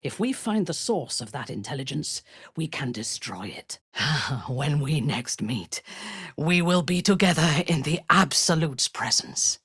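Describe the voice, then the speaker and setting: An actress playing a haughty, ruthless dark elf warrior in a video game, speaking in an imperious, measured, menacing way. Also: female voice, deep voice, animated, slightly rough, no room sound, clean studio sound, heavily acted. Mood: cold, commanding. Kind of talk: video game character voice lines.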